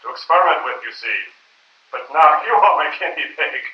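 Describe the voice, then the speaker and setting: A man's voice: a little high, expressive, moderate pace, lightly acted. A man playing a brutish, hulking henchman who sneers and gloats, in a live old-time radio drama on stage. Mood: gloating. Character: brutish hulking male henchman chemist's assistant. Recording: roomy, distant, dull live stage recording.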